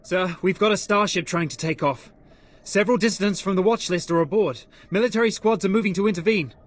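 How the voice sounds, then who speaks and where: Higher-voiced, dutiful technician. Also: male voice, a little fast. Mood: alert, tense. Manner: clipped, reporting. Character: dutiful, anxious young male operations technician. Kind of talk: video game voice acting.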